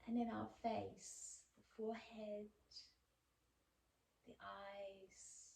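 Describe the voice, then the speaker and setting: A woman guiding a yoga relaxation class as teacher, speaking slowly and soothingly with long pauses. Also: mid-range voice, level tone, warm tone. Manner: slow, soothing, with pauses and breathy sighs. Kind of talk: guided yoga relaxation instruction. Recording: echoey room, distant mic, faint background noise.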